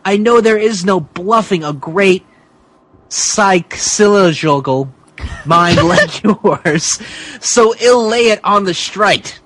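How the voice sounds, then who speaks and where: A man's voice: high-pitched, fairly bright tone, a little hiss or hum, poor audio. A man voicing an arrogant, scheming wrestling boss who gives imperious orders in a mock-dramatic story reading. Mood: smug, taunting. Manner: imperious, drawn-out commands.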